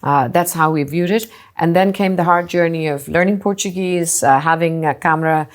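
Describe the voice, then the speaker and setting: Low-voiced woman from Singapore, musing. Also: normal speed. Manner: thoughtful, with frequent "you know" and restarts. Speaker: hotel group co-founder and CEO. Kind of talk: conversational interview answers.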